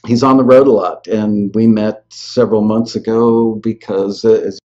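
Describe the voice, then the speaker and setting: A man's voice: on the low side, steady tone, normal speed, a little mellow. Man hosting a web show, muffled sound. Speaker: host of a live web show. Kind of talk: casual live interview chat.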